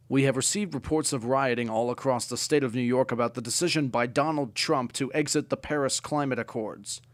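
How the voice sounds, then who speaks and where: A man's voice: medium pitch, no room sound, lightly acted. A man playing an urgent breaking-news anchor in an amateur mock broadcast, with a formal announcer manner.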